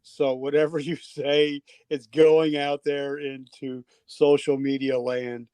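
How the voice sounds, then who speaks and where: A man's voice: on the high side, flat delivery, slow pace, warm tone, smooth, no room sound. An older man from New Jersey hosting an unscripted podcast, chatting in a casual, rambling, jokey way. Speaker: podcast host.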